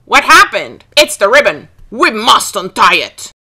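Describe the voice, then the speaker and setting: A woman's voice: a little high, very animated, measured pace, pushed and bright, soft background music, heavily acted. A woman voicing a stern, protective little dwarf, emphatic and scolding in a children's storybook tale. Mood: alarmed, scolding. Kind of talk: children's storybook play with dolls.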